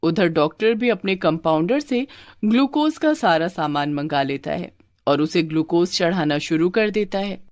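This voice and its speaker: A woman's voice: lowish voice, animated, moderate pace, a little mellow, dry recording, clean studio sound. A woman reading scripted narration for a moral story as its narrator.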